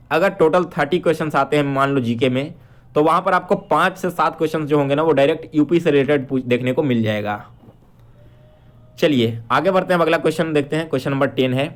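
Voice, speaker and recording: A man with a fairly high-pitched voice teaches an exam-preparation quiz. He addresses his students directly as he explains. He mixes English terms into his speech and often adds small check-in tags.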